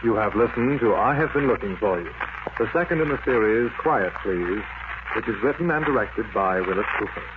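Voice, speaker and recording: A man's voice: lowish voice, animated, a little fast. A man working as a network announcer, reading formally in 1940s radio style. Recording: tinny old radio recording, clear noise.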